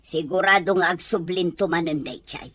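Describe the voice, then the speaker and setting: A woman's voice: fairly low pitch, normal speed, fairly bright tone, breathy edge. A woman playing a worried, fretful older mother of grown sons in an Ilocano radio drama, speaking emphatically.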